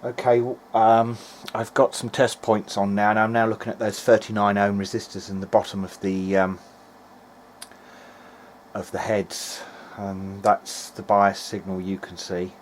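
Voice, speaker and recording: Low-voiced man thinking aloud over noise. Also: flat delivery, unhurried. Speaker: hobbyist electronics repairer. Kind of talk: informal video diary narration while testing. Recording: clearly noisy amateur recording.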